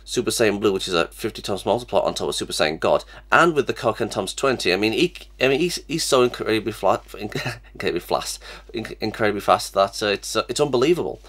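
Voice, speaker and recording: A man's voice: lowish voice, lively intonation, quick pace, few pauses, a bit bright, slightly rough. A young man with a cold, sniffly, hosting a casual reaction vlog and stammering through many restarts from a small room at his webcam. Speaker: reaction channel host. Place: small cluttered room indoors.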